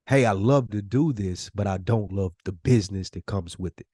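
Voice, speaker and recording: An American man co-hosting a podcast, telling stories in casual, animated chat with loose slang and free swearing. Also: fairly low pitch, moderate pace, no room sound, studio quality. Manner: slangy, informal, swearing freely. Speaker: podcast co-host.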